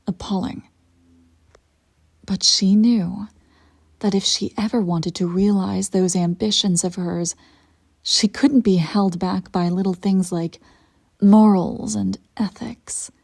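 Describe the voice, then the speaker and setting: A woman playing a ruthless, self-satisfied immortal evil empress in a scripted roleplay monologue, telling her tale in a haughty, intimate, theatrical way with a soft, husky, breathy voice. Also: mid-range voice, heavily acted. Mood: ominous. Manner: deliberate dramatic storytelling, haughty and intimate.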